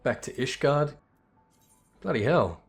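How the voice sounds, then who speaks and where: A man's voice: medium pitch, expressive, slow pace, vocal fry. Casual man with music behind. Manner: informal, sweary asides. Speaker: video game player and commentator. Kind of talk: casual gameplay commentary and narration reading.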